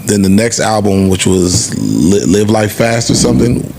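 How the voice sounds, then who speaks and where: A man's voice: lowish voice, animated, moderate pace, creaky, noisy background. A man co-hosting a podcast, talking casually and emphatically in slangy, conversational speech about the music business. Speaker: podcast co-host. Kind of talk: casual music-industry discussion.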